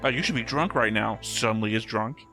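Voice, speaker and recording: A man hosting a reaction show, giving quick, wry commentary and theories. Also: on the low side, bright, forceful tone, faint music behind.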